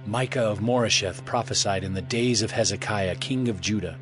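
A man playing a grave elder who reasons in measured oratory in a dramatized scripture reading. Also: fairly low pitch, soft background music, lightly acted. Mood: grave, reasoning.

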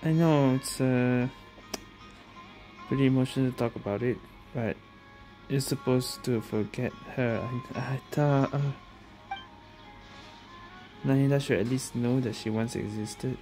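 Female streamer: notably low voice, slow hesitant delivery, casual play-along chat over game music. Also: dark tone, low-quality recording. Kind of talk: casual gameplay commentary.

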